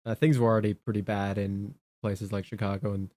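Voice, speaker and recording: A man co-hosting a casual political chat, speaking in a halting way and repeating his words. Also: on the low side, level tone, warm tone, no echo. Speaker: talk show co-host.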